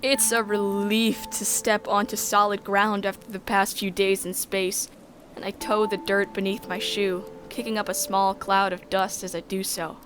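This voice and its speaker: A woman narrating a sci-fi visual novel in the first person, measured and descriptive. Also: medium pitch, level tone, fairly bright tone, a little hiss or hum.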